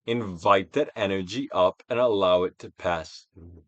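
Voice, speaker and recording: A man giving a theatrical, Shakespearean-style dramatic reading of a self-help book, with deliberate pauses. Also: deep voice, fairly bright tone, no room sound. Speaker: audiobook reader, actor.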